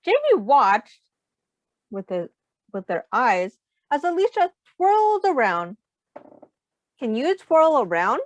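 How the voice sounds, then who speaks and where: A woman reading a children's storybook aloud in an expressive, simple teacher's manner, pausing to put questions to young listeners. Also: high voice, no echo, lo-fi sound.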